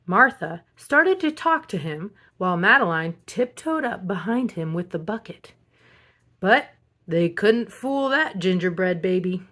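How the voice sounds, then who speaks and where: A woman reading a picture book aloud as a storyteller, in an expressive children's narration style. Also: on the low side, a little slow. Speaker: storybook reader.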